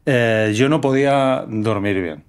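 A man from Menorca speaks at an ordinary, middling pitch. He hosts a conversational interview and thinks aloud thoughtfully. He narrates his own experiences at length. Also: takes it slowly, smooth. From Menorca, Spain. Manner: reflective, tells personal stories at length.

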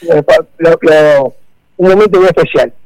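A middle-aged Argentine man from Santa Fe, speaking in a casual radio interview, halting with many fillers and repeated words. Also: a little high, darkish tone, no room sound, poor audio. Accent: Argentine Spanish. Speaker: rally co-driver guest.

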